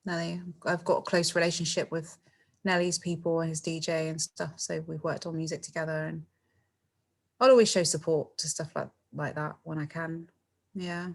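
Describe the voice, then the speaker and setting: Middle-aged woman, lowish voice, chatty and laughing guest. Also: flat delivery, moderate pace, vocal fry. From England. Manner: chatty, laughs often, many fillers and tag questions. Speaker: podcast guest, singer. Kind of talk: casual interview chat.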